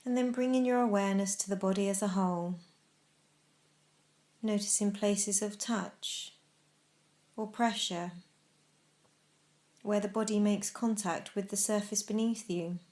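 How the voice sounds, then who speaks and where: Female meditation narrator, mid-range pitch, instructional and measured, leaving deliberate space between phrases. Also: steady tone, darkish tone. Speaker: meditation guide.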